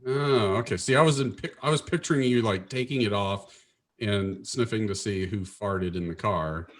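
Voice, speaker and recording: A man's voice: lowish voice, normal speed, slight background noise. A man co-hosting a casual podcast, teasing his co-host and joking around. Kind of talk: casual banter.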